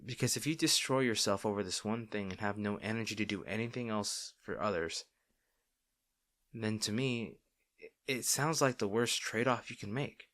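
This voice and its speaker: A man playing a caring, gently firm boyfriend in an intimate roleplay audio, speaking softly, slowly and earnestly. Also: on the low side, no room sound, lightly acted. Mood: tender concern. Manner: soft, slow, tender, earnest with sighs.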